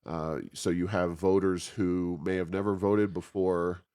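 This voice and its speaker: A man conducting a conversational interview, asking questions in a halting, hesitant way. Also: low-pitched, flat delivery, mellow, clear, resonant tone. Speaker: podcast interviewer.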